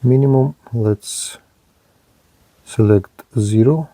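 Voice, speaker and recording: A man's voice: medium pitch, slow pace, warm tone, dry recording. A man narrating a hands-on radio equipment test as a reviewer, speaking in short clipped phrases. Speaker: product reviewer.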